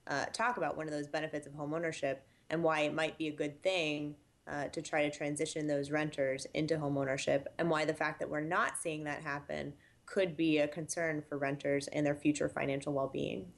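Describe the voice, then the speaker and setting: Low-voiced woman explaining housing data. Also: little pitch movement, gravelly. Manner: measured, explanatory, with fillers. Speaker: housing statistics director, guest. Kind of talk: interview answers.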